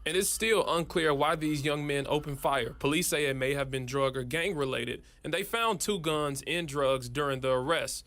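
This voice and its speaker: A man's voice: fairly high pitch, normal speed, hardly any pauses, a bit bright. An American man delivering scripted narration as a television news reporter.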